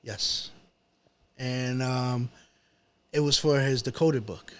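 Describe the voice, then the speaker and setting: A man's voice: mid-range voice, slow pace, fairly bright tone. A man with a New York accent chatting casually as an interview guest, telling stories in slangy, repetitive phrasing. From New York City, United States. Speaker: guest, rapper. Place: apartment.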